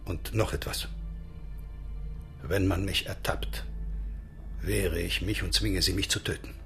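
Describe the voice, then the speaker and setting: A man's voice: sits low, steady tone, slow pace, soft background music. An actor playing a defiant seaman in a radio play, speaking in an urgent, terse and resolute way. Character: tense, defiant seaman plotting a desperate escape.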